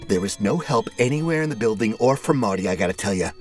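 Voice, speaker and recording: A man's voice: on the low side, expressive, fast talker, faint music behind, pitched above the performer's own voice, close to an everyday voice. A man voicing a flirty, chatty woman neighbor who complains in a theatrical, nagging, exclamatory way. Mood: exasperated, indignant.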